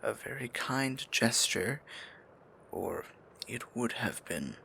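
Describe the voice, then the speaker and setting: A man playing a tender, possessive, courtly dark sorcerer in an intimate fantasy ASMR roleplay, speaking formally in a breathy, gravelly near-whisper. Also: medium pitch, very animated, a little hiss or hum, exaggerated voice. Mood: earnest, hesitant. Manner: formal, archaic endearments, slow deliberate phrasing.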